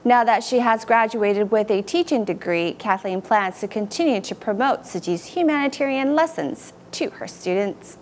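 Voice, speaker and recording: A woman reading news in a clear, composed anchor style. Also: on the high side, animated, moderate pace, hardly any pauses, a little hiss or hum.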